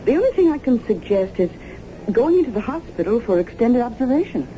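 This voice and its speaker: A woman acting in a radio drama as a serious company doctor, speaking in a measured, clinical way. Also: on the high side, very animated, warm tone. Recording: muffled, noisy old radio broadcast.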